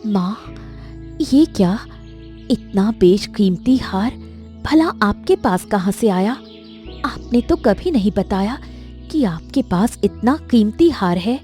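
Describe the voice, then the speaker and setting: Lady doing a worried young village girl, devoted daughter, mellow voice, kinda slow, dramatic story style. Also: mid-range voice, expressive. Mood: astonished. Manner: anxious, questioning. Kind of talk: dramatic animated story acting. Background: music.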